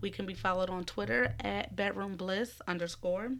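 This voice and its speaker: A woman with a husky, cold-roughened voice talks into a microphone at home. She rambles, giggles and swears easily in a loose podcast chat. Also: medium pitch, fairly even intonation, moderate pace, a bit bright. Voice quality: raspy. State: recovering from a cold. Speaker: podcast co-host. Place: home room. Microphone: studio microphone.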